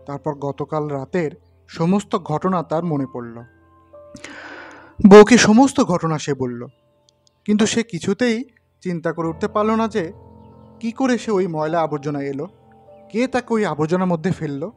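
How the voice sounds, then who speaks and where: A man's voice: a little high, expressive, a little slow, pauses often, a little mellow. A man narrating a ghost story as a storyteller, in a dramatic scripted style. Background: background music.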